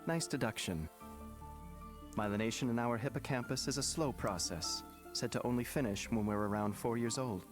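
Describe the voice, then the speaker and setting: A man voicing a calm, probing psychologist character in a video game, speaking in a measured, knowing way over game music. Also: medium pitch, close to an everyday voice. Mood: composed. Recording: game audio with background music.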